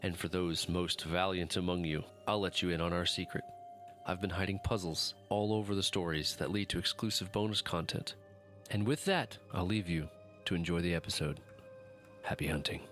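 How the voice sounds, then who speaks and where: A man's voice: low voice, normal speed, a bit bright, faint music behind. A man hosting a fiction podcast, giving a scripted introduction as its author. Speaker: author and host introducing the show.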